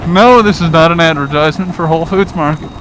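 A man with a fairly high voice rambles easily while riding his scooter through town. He talks in a loose, unhurried way, full of "uh" and "you know". Road, wind and engine noise sit clearly under his voice from the helmet-mounted mic. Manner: chatty, laid-back, lots of "uh" and "you know". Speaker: motovlogger. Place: outdoors on a scooter in city traffic.

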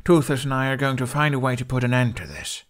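Man as an earnest young Viking, lifted pitch. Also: quick pace, close to an everyday voice. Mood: resolute.

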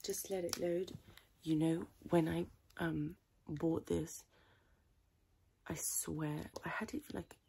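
Soft, low, creaky-voiced woman doing ASMR. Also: steady tone, slow, long pauses, slight background noise. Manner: rambling, improvised, chaotic chatter. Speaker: ASMR creator playing a tailor. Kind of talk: ASMR personal attention role play.

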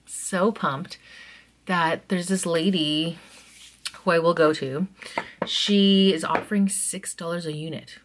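A woman in her thirties chatting excitedly to camera while doing her makeup in a small bedroom, drifting into soft asides. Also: lowish voice, slow, a little hiss or hum. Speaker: vlogger. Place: small bedroom at home, indoors. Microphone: camera close in front of her.

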